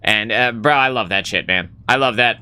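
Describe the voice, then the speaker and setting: A man's voice: mid-range voice, normal speed, pushed and bright, smooth. A young man hosting a casual live gaming stream, rambling and swearing casually as he greets chat.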